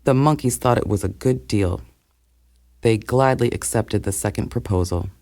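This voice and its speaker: A man narrating scripted folktales for learners in a clearly phrased storytelling way. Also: on the low side, normal speed, a little mellow, no room sound, studio quality.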